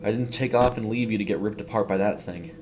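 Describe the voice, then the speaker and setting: A man's voice: fairly low pitch, fast, noisy background, lightly acted. A man voicing a nervous male night security guard in a horror story, with a shaky, hesitant, breathless delivery. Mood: nervous, pleading.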